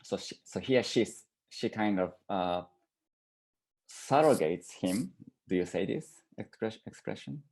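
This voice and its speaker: Low-voiced man, halting artist talk. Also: lively intonation, vocal fry, darkish tone. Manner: halting, searching for words. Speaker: artist presenting own work.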